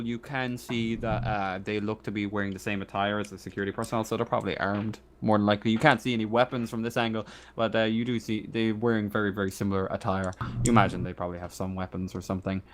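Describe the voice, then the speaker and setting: Halting, low-voiced male game master. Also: slight background noise. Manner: halting, thinking aloud. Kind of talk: improvised narration of a tabletop roleplaying game.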